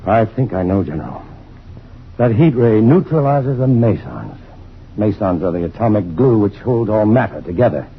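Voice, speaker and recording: An American actor playing a calm, reassuring, educated scientist hero in an old-time radio drama. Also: male voice, fairly low pitch, normal speed. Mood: urgent, explanatory. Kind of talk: 1950s radio drama acting. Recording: dull, lo-fi old radio, faint noise.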